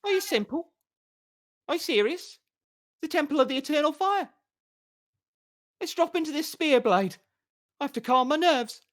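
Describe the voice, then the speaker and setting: A reader voicing a flamboyant excitable bard. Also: mid-range voice, normal speed, voice pushed up, natural voice. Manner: theatrical, excitable. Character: flamboyant vain male bard poet.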